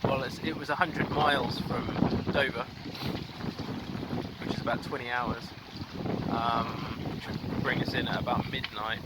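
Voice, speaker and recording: A man filming a casual video diary while sailing solo, talking in a halting way full of ums and restarts. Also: mid-range voice, fairly even intonation. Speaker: solo sailor vlogger. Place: outdoors on a small sailboat at sea. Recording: wind and boat noise. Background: wind, water and boat sounds.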